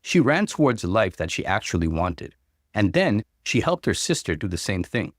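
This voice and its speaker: A man hosting a story channel, delivering scripted narration and conversational commentary with expressive emphasis. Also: on the low side, moderate pace, no room sound, studio quality. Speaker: host reading and commenting on online stories.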